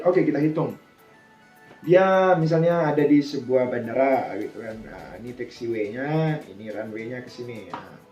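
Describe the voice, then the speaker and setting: A man teaches in a soft-edged, mellow voice at a middling pitch. He explains informally, thinking aloud and asking himself questions along the way. The room is clearly echoey, with some music behind him. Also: lo-fi sound. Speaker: air traffic controller presenting a tutorial.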